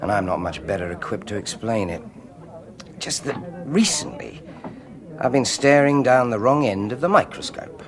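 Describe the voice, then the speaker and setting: An actor playing a sardonic, bitter British research scientist of about forty in a radio drama, speaking in a clipped, brooding way. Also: male voice, medium pitch, lively intonation, normal speed, a crowd in the background, lightly acted. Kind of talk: 1980s BBC radio drama acting.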